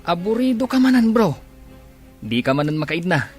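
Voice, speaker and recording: A man's voice: sits high, very animated, moderate pace, faint music behind. A man playing a nosy, teasing young buddy in a radio drama.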